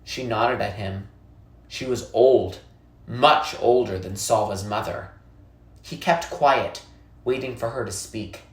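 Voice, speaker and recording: A narrator reading a children's novel aloud in a measured, expressive storytelling way. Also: medium pitch. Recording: echoey, roomy sound.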